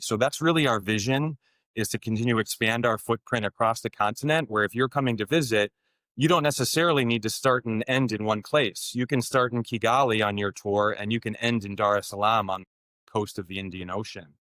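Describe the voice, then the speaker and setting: A man's voice: fairly low pitch, a little fast, fairly bright tone, no echo. A man co-founding a motorcycle tour business, answering interview questions in an easygoing way, thinking aloud with frequent restarts.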